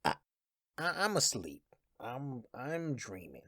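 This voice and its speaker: A woman voicing a frightened, guilty male killer in a radio drama, stammering in rough colloquial speech. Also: extremely low pitch, big pitch swings, slow pace, fairly bright tone, dry recording, studio quality, exaggerated voice. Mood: terrified, confused.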